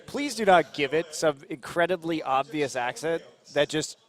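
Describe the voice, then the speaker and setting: A man's voice: on the high side, lively intonation, normal speed. A man working as an esports commentator, making dry, sarcastic remarks in casual broadcast banter.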